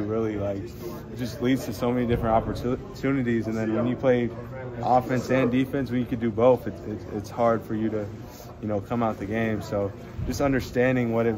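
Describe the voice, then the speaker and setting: A young man, a college basketball player, answering reporters after a game in a casual, rambling way full of fillers. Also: medium pitch. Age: young adult. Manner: relaxed, rambling, many fillers and restarts. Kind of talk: postgame media scrum. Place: arena hallway. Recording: crowd noise in arena hallway.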